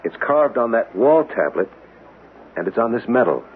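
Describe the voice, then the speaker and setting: A man's voice: mid-range voice, big pitch swings, moderate pace, close to an everyday voice. An actor playing an intent, inquisitive man in a radio drama, earnest, insistent and dramatic in delivery. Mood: intent, serious. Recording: tinny, noisy vintage radio broadcast.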